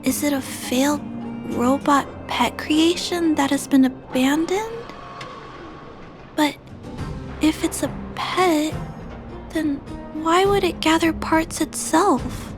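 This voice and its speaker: Video game role: kindhearted young girl, high-pitched, earnest and polite, over music. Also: slow pace, long pauses. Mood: thoughtful. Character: shy, kindhearted little girl who cares for robots.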